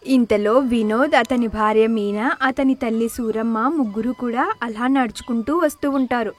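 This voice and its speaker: High-voiced woman narrating a story. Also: normal speed.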